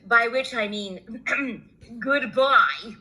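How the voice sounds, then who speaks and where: A woman reading a children's story, voicing a sly, hungry male wolf with oily politeness and drawn-out, teasing words. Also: fairly high pitch, pushed and bright, heavily acted, rough recording. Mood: smug, menacing. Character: sly, hungry, smooth-talking male big bad wolf.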